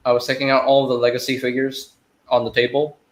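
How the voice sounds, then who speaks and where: Malaysian guy, American accent, relaxed chatty co-host, tails off his sentences. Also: mid-range voice, brisk. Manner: chatty, trails off with "so". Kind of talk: casual chat.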